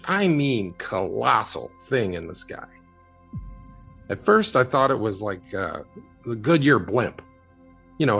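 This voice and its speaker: A man playing a haunted, earnest UFO witness in an audio drama, telling his story haltingly. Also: mid-range voice, creaky, fairly bright tone, slightly rough, exaggerated voice.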